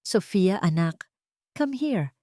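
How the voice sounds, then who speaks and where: A woman's voice: mid-range voice, very animated, takes it slowly, dark tone, no room sound, clean studio sound. A woman voicing a poised, rich fiancée in an audio drama, speaking dramatically with English phrases mixed in. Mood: poised, sweet. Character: poised rich fiancée, falsely sweet, theatrically distraught.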